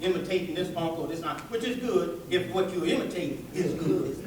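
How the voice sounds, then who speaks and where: A man preaching an animated, folksy sermon to listeners, tossing out rhetorical questions and colloquial asides. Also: on the high side, a little fast, a crowd in the background. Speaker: preacher giving a public religious address. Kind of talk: animated sermon with call-and-response to a live audience. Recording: echoey hall, distant microphone.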